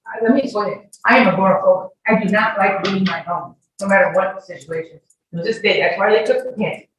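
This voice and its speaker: Female witness, defensive and insistent, mid pitch, recorded far off in a very echoey room. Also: fast talker. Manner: emphatic, defensive. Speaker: witness testifying in court. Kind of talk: courtroom testimony under questioning. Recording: echoey, distant courtroom audio with faint noise.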